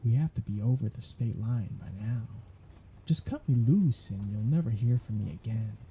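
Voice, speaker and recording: A sardonic male vampire, played by a man in an ASMR audio drama, bantering and flirting at an unhurried pace. His voice is dark and gravelly, with a husky, breathy grain. The pitch swings freely as he teases. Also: animated, faint music behind. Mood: teasing.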